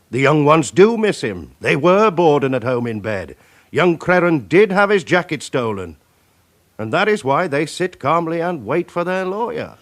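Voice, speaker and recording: A man playing a shrewd senior police officer in a radio crime drama, authoritative, clipped, deliberate and sceptical. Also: fairly high pitch. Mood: stern, persuasive.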